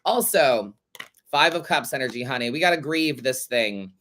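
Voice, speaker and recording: A man with a stuffy, blocked-up nose hosts a relaxed tarot advice show. He talks to listeners in a loose, chatty way, calls them babe and honey, and lets the occasional curse word slip. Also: medium pitch, normal speed, a little hiss or hum. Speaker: tarot reader and show host. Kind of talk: casual advice reading of listener questions.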